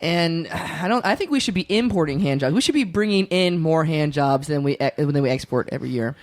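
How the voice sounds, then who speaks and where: A man's voice: fairly high pitch, expressive, a little fast, no room sound, clean studio sound. A man hosting a comedy podcast, joking around and laughing often. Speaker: podcast host. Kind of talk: casual comedy chat.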